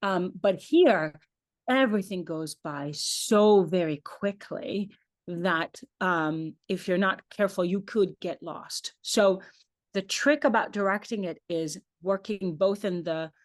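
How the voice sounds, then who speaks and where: A woman's voice: lowish voice, takes it slowly. Hebrew-native woman, chatty opera director. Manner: thoughtful, chatty, uses "like" and "um" often. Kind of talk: conversational online lecture.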